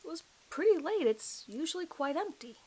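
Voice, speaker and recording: A woman's voice: extremely high pitch, very animated, normal speed, mellow, voice pushed up, rough recording. A woman voicing a nervous man in his thirties, a suspect giving a hesitant, rambling, apologetic account in an audio horror story. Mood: defensive, unsure. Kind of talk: dramatized police interview recording.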